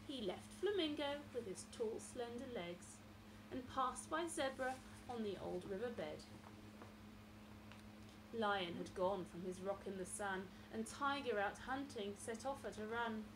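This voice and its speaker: Higher-pitched woman storyteller, noisy room. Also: measured pace. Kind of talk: reading a picture book aloud to children. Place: indoors, small room lined with bookshelves.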